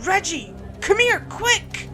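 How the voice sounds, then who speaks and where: A woman's voice: very high-pitched, sing-song, slow pace, pitched above the performer's own voice, exaggerated voice. A woman voicing a panicked, pleading teenage boy in a horror story. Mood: urgent. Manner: pleading, yelling. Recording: music behind the voice.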